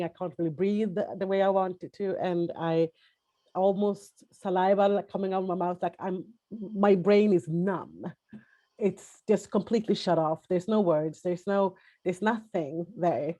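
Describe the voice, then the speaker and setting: Halting Swedish-accented woman, dark voice. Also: fairly low pitch. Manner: halting, hesitant, many restarts and fillers. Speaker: webinar panelist. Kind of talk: personal testimony on a webinar.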